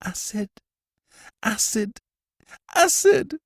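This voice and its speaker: A guilt-haunted prisoner gasps and wails in shrill panic. His voice leaps high above the narrator's own and swings wildly. It is recorded dry and close. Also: expressive, measured pace, creaky, no room sound, exaggerated voice. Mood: terrified. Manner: gasping, shrill, panicked wailing. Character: terrified male prisoner, a guilt-haunted murderer.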